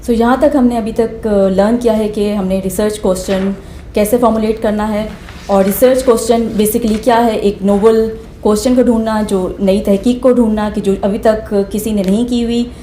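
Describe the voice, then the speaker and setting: A woman's voice: medium pitch, fairly even intonation, moderate pace, warm tone, slight background noise. A woman hosting an interview, summing up points and politely asking the doctor questions. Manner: sums up and asks polite questions. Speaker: host. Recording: echoey room.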